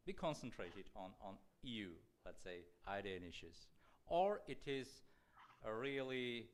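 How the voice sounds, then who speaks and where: A man in a conference audience poses a long question to the panel. His voice is somewhat low and smooth with a resonant ring. He builds the question slowly, pausing over his words. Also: a bit bright. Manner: careful, hesitant, drawn-out phrasing. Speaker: audience member asking a question.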